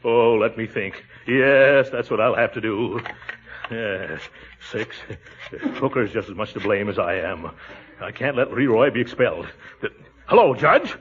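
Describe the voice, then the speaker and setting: An actor playing a pompous, chuckling middle-aged uncle who schemes sheepishly, in a 1940s radio sitcom style. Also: male voice, on the low side, animated, measured pace. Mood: worried muttering, then urgent. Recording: tinny, hissy 1940s radio broadcast.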